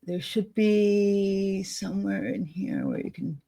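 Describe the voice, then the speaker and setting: A woman co-hosting a casual chat, thinking aloud as she clicks through software at her desk, with frequent laughs. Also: medium pitch, very animated, slow pace, gravelly. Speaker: co-host demonstrating software. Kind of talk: casual screen-share chat.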